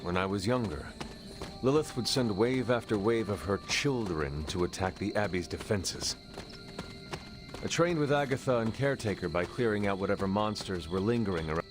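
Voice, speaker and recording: Resurrected demon hunter, game cutscene, male actor: deep, solemn, formal diction, faint music behind. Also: animated, lightly acted. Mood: dry. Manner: formal, measured, avoids contractions. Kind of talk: video game cutscene acting.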